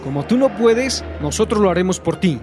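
Man acting a cocky high-pitched teen gang leader. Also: expressive, fairly quick, a little mellow, soft background music. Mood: taunting, boisterous. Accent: Bolivian. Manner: taunting, slangy, domineering. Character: cocky, bullying, possessive teenage gang leader. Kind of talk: radio drama acting.

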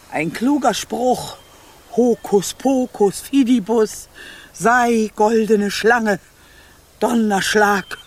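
A woman's voice: medium pitch, noisy background, lightly acted. An actress playing an old scheming witch grandmother in a radio play, muttering with smug malice. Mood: brooding, gloating. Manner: slow, muttering, self-satisfied, incantatory. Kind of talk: German radio play acting.

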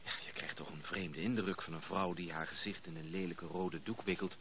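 Deep-voiced courteous young gentleman. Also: fairly even intonation. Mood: reproving. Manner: polite, measured, questioning. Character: courteous young gentleman, mildly reproving, steady-tempered. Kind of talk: Dutch radio play acting. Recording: muffled narrow-band old radio recording, noisy.

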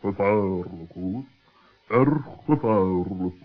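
Man playing a husky, pompous old-radio owl. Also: sits low, expressive, slow, faint music behind, heavily acted. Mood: warning. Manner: pompous, wordy, ponderous. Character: pompous, cautious, learned male owl of the forest. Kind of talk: children's radio play acting. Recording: dull lo-fi old radio recording.

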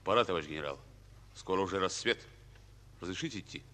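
An actor playing a stoic, exhausted soldier in a Russian radio war drama, answering in terse military phrases. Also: male voice, sits low, animated, fast, bright, forceful tone, a little hiss or hum. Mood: resolute. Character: stoic, exhausted army messenger, loyal and terse.

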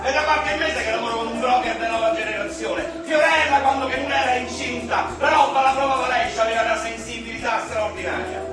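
High-pitched volatile Roman street dealer. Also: male voice, brisk, few pauses. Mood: agitated, complaining. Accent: Roman Italian. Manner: colloquial, crude, emotionally swinging. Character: Gianfranco, volatile, foul-mouthed Roman drug dealer, restless young father. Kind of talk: theatrical radio drama monologue in slangy dialect. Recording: roomy, distant stage sound with loud music.

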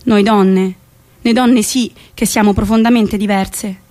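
A woman's voice: medium pitch, steady tone, unhurried, dark tone, lightly acted. An actress playing a young woman who tells her story in a reflective, defiant theatrical monologue. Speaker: stage actress. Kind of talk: theatrical monologue with acted dialogue.

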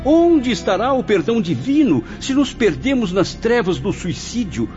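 A man's voice: sits high, big pitch swings, moderate pace, a little mellow. Man acting an anguished, dramatically swooping male spirit. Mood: anguished, protesting. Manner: theatrical, pleading, formal diction. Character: Camilo, anguished, questioning spirit of a man suicide. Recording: dull lo-fi audio under music.

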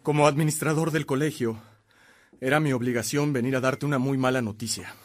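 An actor playing an indignant, sorrowful college administrator in a radio drama, urgent and grave. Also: male voice, mid-range voice, steady tone, brisk, lightly acted. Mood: upset, angry.

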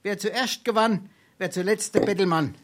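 A woman's voice: mid-range voice, moderate pace, lightly acted. A woman playing a cheeky, boastful male tavern freeloader in a dialect comedy, grandiose and teasing, with a Darmstadt Hessian accent. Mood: cheeky, mock-grand. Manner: grandiose, teasing, mock-formal.

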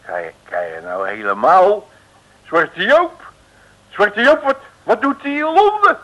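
A man's voice: high voice, sing-song, unhurried, a bit bright, exaggerated voice, rough recording. A man playing a brash, chummy detective in a comic radio play, slangy and blustering in an informal, folksy way. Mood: indignant, baffled.

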